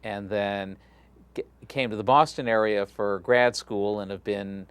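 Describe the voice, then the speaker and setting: A man's voice: on the low side, unhurried, clear, resonant tone, dry recording. A middle-aged man, a rabbi from Texas, chatting in an interview in a loose, friendly way with many fillers and restarts. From Galveston, Texas. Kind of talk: casual interview conversation.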